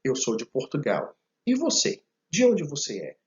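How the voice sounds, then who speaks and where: A man's voice: a little high, wildly swooping pitch, normal speed, dull audio, voice pushed up. A male teacher reading a textbook dialogue line as a friendly young man introducing himself. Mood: cheerful.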